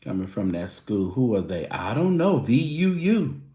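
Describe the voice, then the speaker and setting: A man's voice: fairly low pitch, sing-song, moderate pace, warm tone, echoey room. A man hosting his own show, chatting loosely and casually with his viewers. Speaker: show host. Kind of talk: casual livestream chat.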